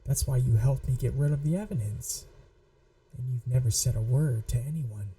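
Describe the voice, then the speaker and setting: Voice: medium pitch, normal speed, dark tone, recorded from a distance, lightly acted. A performer voicing a possessive, manipulative young yandere in an ASMR roleplay, taunting and coldly controlled. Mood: calculating, menacing. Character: possessive, manipulative yandere childhood friend, menacing young blackmailer. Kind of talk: scripted ASMR roleplay audio drama.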